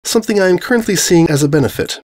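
A man's voice: high voice, lively intonation, racing, darkish tone, voice pushed up. A man voicing a stern, haughty pony princess who speaks formally and with sarcasm in a storybook reading. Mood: sarcastic.

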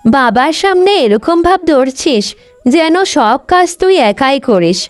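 একজন মহিলা কার্টুন গল্পে অহংকারী, স্বার্থপর তরুণী বড় বোনের চরিত্রে কণ্ঠ দিচ্ছেন, কথায় বকাঝকা আর অভিযোগের সুর।. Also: female voice, high-pitched, sing-song, measured pace, dry recording, soft background music. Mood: irritated, sulky. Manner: scolding, complaining. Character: vain, selfish, sharp-tongued young elder sister. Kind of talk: Bengali cartoon story voice acting.

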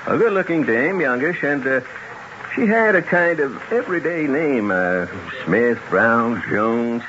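An actor playing an irritated, talkative gun dealer with brash, slangy speech in a 1970s radio drama. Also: male voice, mid-range voice, expressive, measured pace, a bit bright. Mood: musing. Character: irritated, talkative gun shop owner, a man. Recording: muffled, noisy 1970s radio recording.

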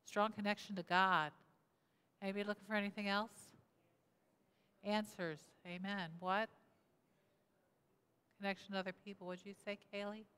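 A woman's voice: mid-range voice, flat delivery, normal speed, pauses often, sharp tone, smooth. A woman in her sixties, a pastor giving an interactive sermon at a lectern, asking the congregation questions and repeating their answers. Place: church sanctuary, indoors, at a lectern. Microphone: lectern mic, camera at mid distance. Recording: reverberant church hall.

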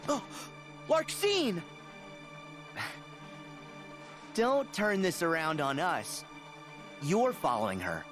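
A man voicing an earnest, stubborn teenage boy hero in a video game, plainspoken and quick to take offence. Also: fairly high pitch, big pitch swings, fairly bright tone, faint music behind, exaggerated voice. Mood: defiant. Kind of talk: video game cutscene acting.

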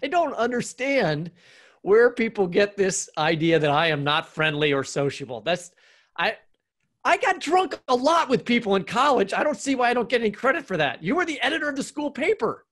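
A man's voice: high voice, sing-song, normal speed, fairly bright tone. A man co-hosting a podcast, chatting and interviewing in a conversational way. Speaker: podcast co-host.

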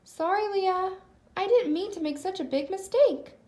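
A woman's voice: very high voice, unhurried, darkish tone, roomy sound, pitched above the performer's own voice. A woman voicing a sweet, eager young genie in training from a children's storybook, with a bouncy, exclaiming manner. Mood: apologetic.

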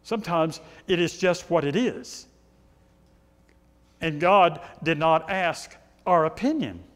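A middle-aged man with a loud, carrying voice, preaching a sermon in a church sanctuary. He paces and gestures and asks the congregation rhetorical questions. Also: a little high, expressive, slow pace, long reverb tail. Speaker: pastor.

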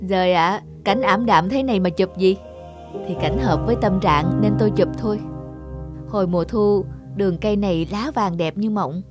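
A woman's voice: medium pitch, normal speed, close to an everyday voice. A woman acting a blunt young cartoon woman over music. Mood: exasperated banter. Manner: colloquial, blunt. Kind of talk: animated cartoon dialogue.

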